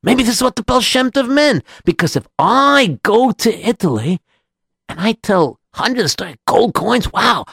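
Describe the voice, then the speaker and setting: A man voicing an eager, earnest travelling rabbi in a story, stammering and excitable with a sing-song delivery. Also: high voice, moderate pace, no echo, clean studio sound, voice pushed up, exaggerated voice. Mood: excited, wondering.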